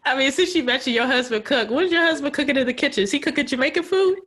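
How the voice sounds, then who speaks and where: A woman interviewing a guest casually as a podcast host, informal, expressive and playful. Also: high voice, very fast.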